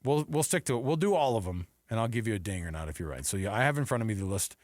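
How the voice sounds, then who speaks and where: An American male radio host with very animated, swinging pitch and a dry, close studio sound. He talks sports in a chatty, off-the-cuff way, hopping from one thought to the next. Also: very fast, dry recording. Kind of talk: casual sports talk radio banter.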